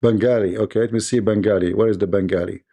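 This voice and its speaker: Combative host with a mellow, dark voice. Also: male voice, lowish voice, fairly even intonation, fast talker, vocal fry. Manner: combative, repetitive and quizzing. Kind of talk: live-stream debate.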